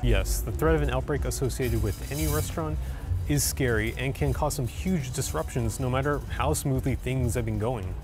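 A young man in his twenties talks straight to camera on a city street, upbeat and conversational, with a mid-range voice. A clear music bed plays steadily under his words. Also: medium pitch, moderate pace. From San Francisco. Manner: upbeat, conversational, direct address. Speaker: video host. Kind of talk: scripted explainer talk to camera.

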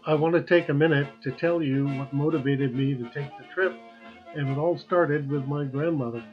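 An American man in his seventies tells a personal travel story as narrator. His voice sits a little higher than most men's, and music plays along with it. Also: fairly even intonation, moderate pace, muffled recording. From United States. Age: 70s.

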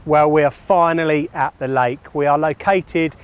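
A man's voice: a little high, fairly even intonation, moderate pace, clear, resonant tone. A man talking casually to camera about loading up and travelling. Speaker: carp angler and presenter. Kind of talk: casual vlog.

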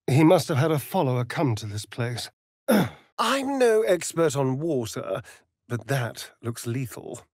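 A sly vampire rogue from a fantasy video game. He talks in an arch, mocking drawl, unhurried and theatrical, with pitch that swoops freely. The sound is dry and close, every vain aside savored. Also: very animated, a little mellow, dry recording. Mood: wry, casual.

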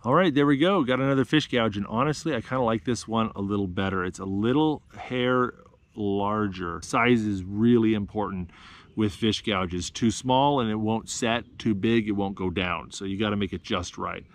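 Relaxed man explaining outdoor skills. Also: fairly low pitch, moderate pace. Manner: relaxed, explanatory, practical how-to talk. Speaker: outdoor channel host. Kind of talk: casual outdoor vlog narration. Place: outdoors in a forest. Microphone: action camera, worn on the body or close up.

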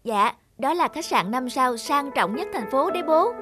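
A woman voicing an earnest, polite and devoted schoolboy son in an animated moral tale. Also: sits high, normal speed. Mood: eager. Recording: faint music behind the voice.

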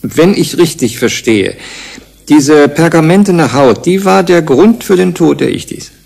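An actor playing a reflective investigator in a German radio play, questioning and deliberate. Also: male voice, a little high, animated, vocal fry, darkish tone, full character voice. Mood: reasoning. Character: reflective male investigator probing a mystery.

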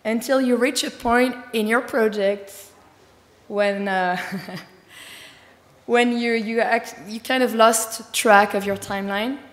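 A woman with a slightly hoarse, tiring voice giving a conference talk, fluent but with many fillers. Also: a little high, fairly even intonation, slow pace. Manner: fluent, filler-heavy explaining. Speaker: conference speaker. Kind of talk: conference presentation. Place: large conference hall. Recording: echoey hall with long reverb.

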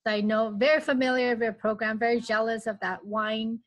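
A woman's voice: a little high, fairly even intonation, a little fast. Female panelist, 30s, upbeat conversational delivery, earbud mic on a video call. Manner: upbeat, conversational, with "you know" fillers. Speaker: agency founder and panelist. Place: home office. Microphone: earbud headset on a video call.

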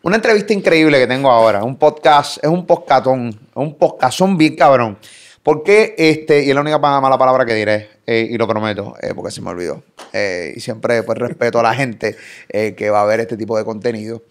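A Puerto Rican man in his thirties hosting a casual podcast interview in a studio, energetic and playful, talking with his hands. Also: medium pitch, fairly quick. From Puerto Rico. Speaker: podcast host. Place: pink studio set. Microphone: boom studio mic.

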